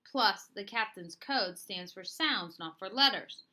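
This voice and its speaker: A woman's voice: mid-range voice, expressive, a little slow, bright, forceful tone. A woman voicing an earnest, determined blind schoolboy in a storybook read-aloud. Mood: frustrated. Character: earnest, determined blind French schoolboy narrator, striving and frustrated.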